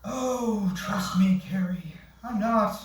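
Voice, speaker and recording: A man's voice: high voice, slow pace. A man in a staged play reading, playing a sly, lecherous single man on dates with a sardonic, theatrical manner. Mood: wry. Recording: echoey theatre, far mic, faint noise.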